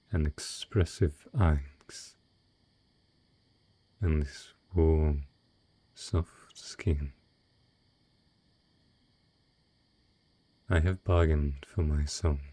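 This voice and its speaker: A man playing a wealthy, possessive dragon in human guise, speaking in slow, formal, seductive phrases for an intimate romantic audio roleplay. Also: a bass voice, warm tone, husky, dry recording, heavily acted.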